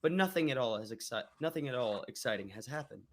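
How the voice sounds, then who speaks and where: Man reading old letters aloud, slow and careful. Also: mid-range voice, animated, slight background noise. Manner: measured, deliberate reading with small stumbles. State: recovering from an illness. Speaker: guest reader.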